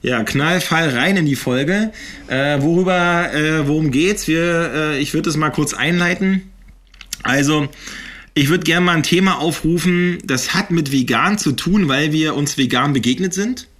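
A man's voice: fairly high pitch, measured pace, fairly bright tone. Rambling German man hosting a podcast. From northeastern Germany. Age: 40s. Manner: rambling, many fillers and restarts. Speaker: podcast host. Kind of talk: casual conversational podcast.

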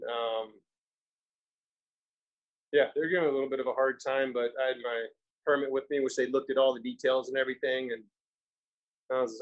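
A man's voice: mid-range voice, lo-fi sound. A man from Florida co-hosting a podcast, telling stories in an easygoing, conversational way. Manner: storytelling, easygoing. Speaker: podcast co-host. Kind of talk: casual chat.

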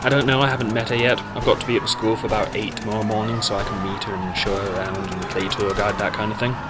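Audio drama casting: actress as sardonic teen daughter, cheeky and dodging questions, over audible background music. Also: female voice, very deep voice, level tone, quick pace. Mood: exasperated, dry. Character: sardonic teenage schoolgirl, cheeky and evasive with her mum.

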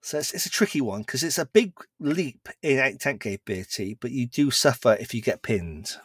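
Male podcast co-host, middle-pitched, explains rules at length, dry recording. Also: animated, brisk, fairly bright tone, slightly rough, no echo. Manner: explains rules in long runs, with many restarts. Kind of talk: casual chat about tabletop wargame rules.

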